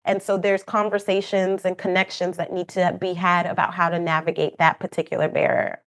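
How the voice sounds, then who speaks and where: A woman's voice: on the low side, level tone, brisk. A woman from Kenya with a Kenyan accent, whose first language is not English, answering as a guest expert in a podcast interview in an earnest, explanatory way. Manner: earnest, explanatory, with light laughs and asides. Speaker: program manager, guest expert.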